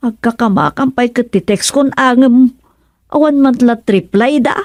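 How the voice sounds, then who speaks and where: A woman's voice: a little high, moderate pace, a little mellow, no room sound, natural voice. A woman acting a worried village mother in a conversational radio drama. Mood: concerned.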